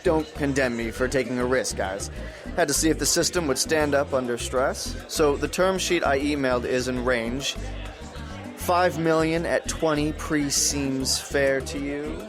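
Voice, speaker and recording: A man's voice: mid-range voice, a little slow, over music, lightly acted. An actor playing a pushy, volatile young startup founder in an audio drama, with combative salesman patter. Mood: cocky, bargaining.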